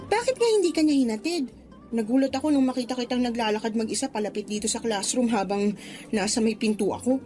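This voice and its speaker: High-voiced gushing Filipina student played by a woman. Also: fast, dark tone, faint music behind, close to an everyday voice. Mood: chatty, admiring. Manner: gushing, teasing. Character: excitable, admiring young female student, a best friend. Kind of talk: Filipino radio drama acting.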